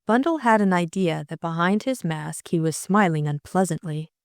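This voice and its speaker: Lady narrating an audiobook, slightly low voice, dry close sound. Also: animated, moderate pace, dry recording. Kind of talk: scripted story narration.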